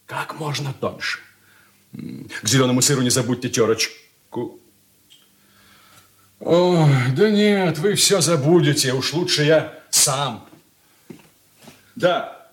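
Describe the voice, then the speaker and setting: Fussy, higher-voiced irritable son. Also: male voice, lively intonation. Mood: fussy, bossy. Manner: peevish, fastidious, giving precise instructions. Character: fussy, irritable, bossy adult son of the household. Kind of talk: Soviet radio play acting. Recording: roomy 1980s radio drama studio sound.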